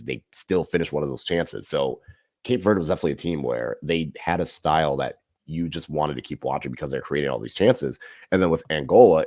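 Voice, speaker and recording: A man's voice: very deep voice, darkish tone, no room sound, studio quality. A man co-hosting a podcast, casually discussing football in long, loosely built explanations.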